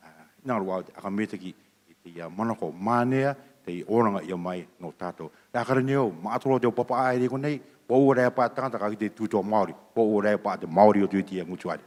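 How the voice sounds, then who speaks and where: A man gives a testimony in a church. He speaks softly and haltingly, with many pauses. His voice carries the long reverb of the hall. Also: lowish voice.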